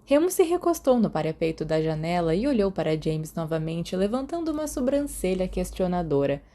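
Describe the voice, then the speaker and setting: A woman's voice: fairly low pitch, sing-song, brisk, mellow. A Brazilian woman reading a story aloud as scripted audiobook narration. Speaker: podfic narrator.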